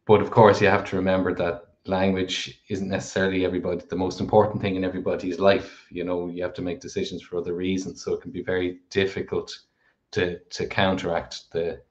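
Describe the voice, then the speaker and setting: Deep flat-voiced man on a call. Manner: measured, thoughtful, with frequent "I suppose". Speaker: co-host of a live Q&A. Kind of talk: live-stream Q&A answering viewer questions. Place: home room with a plant behind. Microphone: headset, video call. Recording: dull lo-fi video call audio.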